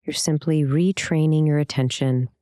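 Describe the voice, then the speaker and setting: A meditation instructor speaks in a smooth, clear voice with a dark, relaxed tone. The delivery is gentle and soothing, with long pauses between phrases. The sound is dry, with no room around it. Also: medium pitch, no room sound. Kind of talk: guided meditation, scripted.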